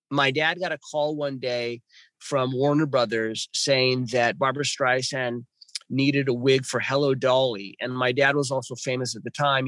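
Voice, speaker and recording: A man's voice: medium pitch, fairly bright tone, no echo. A man in his fifties from Los Angeles, telling his life story as a podcast guest in a rambling way, with restarts and many fillers. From Los Angeles, California. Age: 50s. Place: home with birds nearby.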